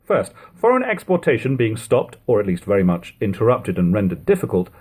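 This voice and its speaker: A man with a husky voice reading a literary text aloud as an audiobook narrator, in a lo-fi home recording. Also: lowish voice, wildly swooping pitch, fairly quick, a little mellow. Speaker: audiobook reader.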